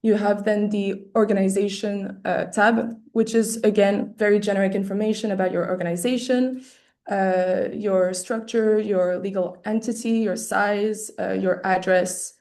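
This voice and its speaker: A woman losing her voice, presenting an arts award webinar over a video call, clearing her throat often and pausing on many fillers. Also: medium pitch, steady tone, a little mellow. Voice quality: slightly hoarse. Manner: hesitant, many fillers and restarts. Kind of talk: explaining an application process. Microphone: computer mic on a video call.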